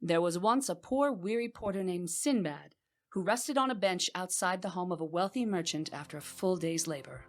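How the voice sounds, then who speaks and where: A woman's voice: lowish voice, natural voice. Woman as a composed, soothing royal storyteller. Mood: deferential. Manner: soothing, deferential, measured storytelling. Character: composed, clever young queen spinning tales to calm her lord. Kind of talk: audio drama acting.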